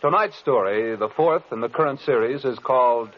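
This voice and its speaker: A muffled vintage radio recording of a male announcer. He delivers scripted copy in an old-time broadcast style, at a medium pitch for a man. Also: normal speed.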